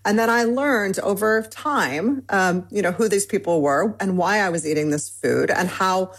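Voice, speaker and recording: A woman's voice: medium pitch, moderate pace, breathy edge. A woman in her forties from Michigan, an author being interviewed, talking casually with many fillers and restarts. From Michigan, United States. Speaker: cookbook author.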